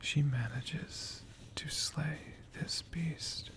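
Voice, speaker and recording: A man playing a friendly, bookish dragon who tells stories in a soft, breathy ASMR whisper, gently lingering over words. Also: fairly high pitch, extremely slow. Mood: gentle, musing. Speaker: ASMR host.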